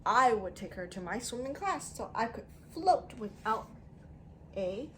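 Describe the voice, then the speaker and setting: A woman teacher reading a story aloud to young children in an expressive way, using simple phrasing and putting questions to them. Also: fairly high pitch, measured pace, slight background noise. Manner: expressive, emphatic delivery.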